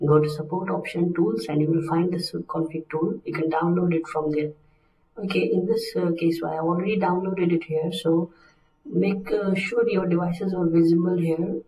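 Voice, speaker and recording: A man narrating a software tutorial as a technical instructor, step by step with halting phrasing. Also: a little high. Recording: roomy, distant microphone.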